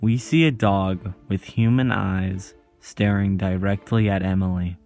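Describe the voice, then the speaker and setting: Man, low voice, unhurried video essay narration, dramatic pauses, faint music behind. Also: fairly even intonation, a little mellow. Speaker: video host.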